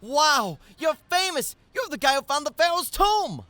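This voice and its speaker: A man voicing an innocent, eager teenage boy in an animated audio drama. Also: very high-pitched, a little slow, no room sound, heavily acted. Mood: amazed.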